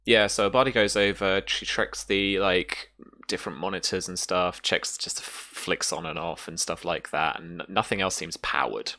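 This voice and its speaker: Game master, male: deep, crisp-edged voice, halting descriptive narration, dry close sound. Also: steady tone, pushed and bright, no echo. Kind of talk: improvised narration of a tabletop game.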